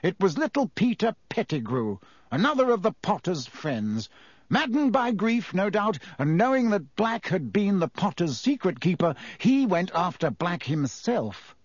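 A man's voice: high-pitched, sing-song, unhurried, husky, dry recording, voice pushed up, heavily acted. A man playing a pompous, self-important older government minister in an audiobook, grave and formal in delivery. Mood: regretful.

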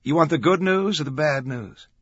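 A wry, no-nonsense camerawoman, voiced by a male narrator, teasing and blunt. The pitch sits well above his own, with a sharp, husky tone and a dry, dull recording. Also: lively intonation, normal speed, dry recording. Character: blunt, wry adult female camera operator.

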